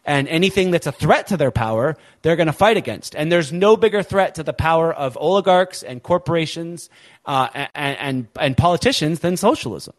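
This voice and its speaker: A man's voice: a little high, animated, fairly quick. A man hosting a political podcast, talking in an impassioned, emphatic way. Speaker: podcast host.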